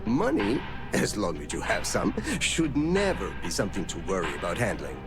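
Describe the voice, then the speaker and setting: Animated, canned bank teller man, music behind. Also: low voice, normal speed, heavily acted. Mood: courteous, canned. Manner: courteous, salesman-like, canned. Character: polite, scripted male bank teller spouting slogans. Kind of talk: video game dialogue.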